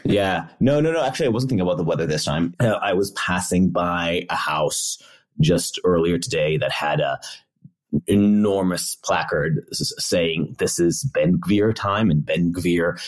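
A low-pitched man talks casually on a podcast. His voice is gravelly and raspy from too little sleep. He is wry and digressive, thinking out loud as he goes. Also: unhurried. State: underslept. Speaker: podcast co-host. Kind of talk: casual reflective podcast chat.

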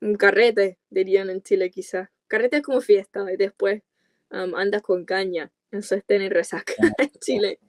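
A woman's voice: mid-range voice, fairly even intonation, normal speed, dry recording. Young American woman, dry close sound. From United States, California. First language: English. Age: 20s. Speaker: podcast guest, content creator. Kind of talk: casual chat in an interview. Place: small dark room with coloured lights, video call.

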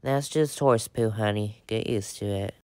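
A woman's voice: extremely low pitch, unhurried, mellow, no room sound. A woman playing a weary, practical mother in a pretend-play story, firm and matter-of-fact. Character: weary, practical mom of a young girl.

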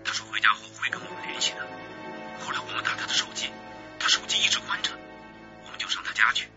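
An actor playing a worried, urgent junior police detective reporting in a radio drama. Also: male voice, fast, natural voice. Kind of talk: Chinese radio drama acting. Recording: muffled, loud suspense music behind.

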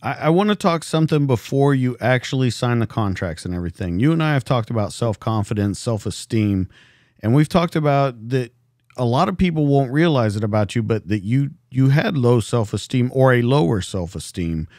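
A man's voice: medium pitch, expressive, moderate pace, a little mellow, no room sound. A man hosting a podcast from a home studio, asking probing questions and repeating them. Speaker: podcast host. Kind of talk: interview questions.

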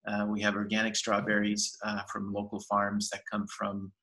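A man's voice: lowish voice, completely flat delivery, moderate pace, sharp tone. A man in his fifties, a market manager, talking eagerly over a video call with many fillers and restarts. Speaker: farmers market general manager. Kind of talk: casual video-call interview. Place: home room with shelves, indoors. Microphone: laptop webcam on video call.

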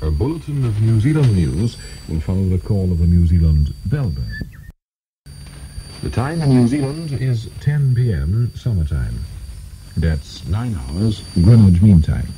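Male announcer, very dark mellow tone, animated intonation, formal delivery, faintly noisy 1970s shortwave recording. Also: lowish voice, unhurried. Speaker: radio announcer.

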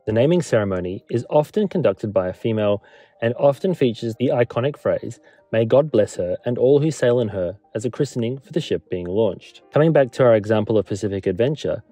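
A man's voice: mid-range voice, warm tone, no echo. A man presenting a scripted history documentary as a narrator and lecturer. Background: background music.

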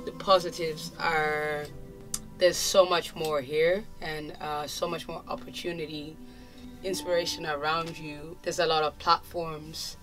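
A young woman from Zimbabwe, a musician, giving relaxed, thoughtful interview answers in a casual way, recorded indoors in a studio. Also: low voice, unhurried, faint music behind, low-quality recording. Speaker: musician guest. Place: indoor studio with clothing racks.

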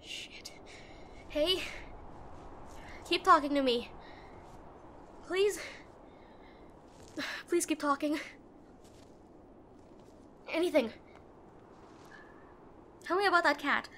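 A woman playing a brusque, protective and frightened tsundere girlfriend in a roleplay audio drama, speaking urgently and haltingly straight to the listener. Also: high-pitched, sharp tone, a little hiss or hum, heavily acted. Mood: urgent, pleading. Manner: bossy, urgent, emotional, halting.

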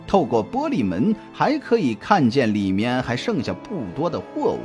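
Expressive suspense audiobook narrator with music. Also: medium pitch, moderate pace. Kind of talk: scripted suspense story narration. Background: background music.

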